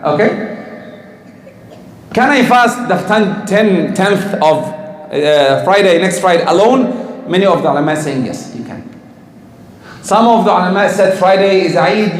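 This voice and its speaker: A man's voice: high-pitched, normal speed. A man giving a religious talk to a congregation, asking the listeners questions and answering them himself. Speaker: Islamic teacher. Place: community hall or mosque in Canada. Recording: echoey hall with long reverb.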